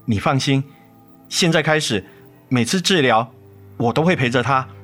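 Casting: earnest, repentant dad male actor, average pitch, gentle reassurance, radio drama with soft music behind. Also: normal speed, close to an everyday voice. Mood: reassuring. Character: earnest, repentant father of sick girl.

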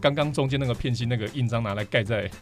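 A man's voice: medium pitch, quick pace, soft background music. A man with a Taiwanese Mandarin accent, talking and explaining at length as a guest in a casual podcast interview. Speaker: podcast guest, vinyl record collector.

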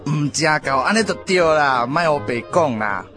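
A man's voice: on the high side, lively intonation, normal speed, natural voice. A man playing a stern, scolding old-timer in a radio drama, with a Taiwanese accent. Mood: testing, gruff. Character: stern old-timer male cinema-dweller, scolding veteran. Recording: music behind the voice.

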